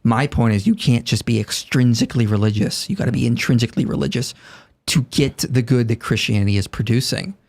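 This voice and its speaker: A man's voice: fairly quick, creaky, darkish tone. A man in his late thirties, a podcast guest, making his case earnestly in casual conversation and acting out imagined speakers. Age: 30s. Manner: earnest, argumentative, voices imagined speakers in raised pitch.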